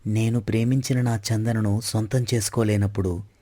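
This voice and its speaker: A lovestruck young prince acted by a man speaks with earnest devotion. The voice is on the low side and mellow, recorded very dry for an audio tale. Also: flat delivery, normal speed, dry recording, close to an everyday voice. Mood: romantic, devoted.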